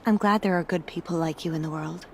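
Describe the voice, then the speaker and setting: A woman's voice: fairly low pitch, steady tone, quick pace, noisy background, close to an everyday voice. An actress playing an earnest, wronged woman in a video game, with a heartfelt, emotional delivery. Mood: grateful, sorrowful. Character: earnest, wronged woman, bereaved daughter and sister.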